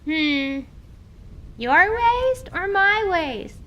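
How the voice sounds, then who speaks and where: A woman voicing a cheeky, excitable young girl with a bouncy, teasing manner in an audio drama. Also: extremely high pitch, very slow, highs cut off, a little hiss or hum, voice pushed up, full character voice.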